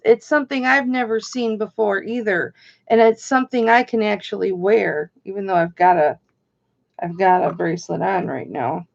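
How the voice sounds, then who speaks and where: Slow, gravelly-voiced woman auction host. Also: a little high, a little mellow, highs cut off. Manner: chatty, greets viewers by name. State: slightly hoarse. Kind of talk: casual live-stream auctioneering.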